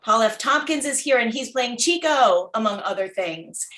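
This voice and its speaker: A woman's voice: on the high side, lively intonation, normal speed, a bit bright, recorded from a distance. A woman casually introducing a cast at a table read, recorded in a roomy space away from the microphone.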